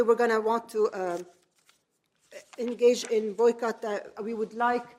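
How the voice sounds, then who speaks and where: A middle-aged woman from Lebanon giving a semi-improvised public lecture, phrasing deliberately and stressing key words. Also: mid-range voice. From grew up in Lebanon. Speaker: speaker, activist lecturer.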